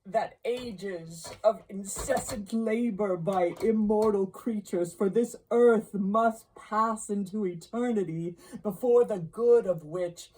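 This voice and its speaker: A grave, tormented storybook ghost, acted out by a woman, bound in chains and full of sorrow. The words come out very slowly in long, loud, declamatory wails, with the pitch lifted above a soft-toned base. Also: low-quality recording. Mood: anguished. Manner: slow, declamatory, drawn-out wailing. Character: anguished male ghost in chains, mournful and grave.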